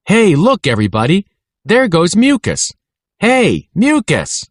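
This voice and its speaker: Man putting on a jeering high-pitched schoolyard bully. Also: huge pitch swings, unhurried, no echo, clean studio sound, exaggerated voice. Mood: mocking. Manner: calling out, jeering. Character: taunting schoolyard bully boy, loud and mocking. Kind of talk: children's storybook dialogue.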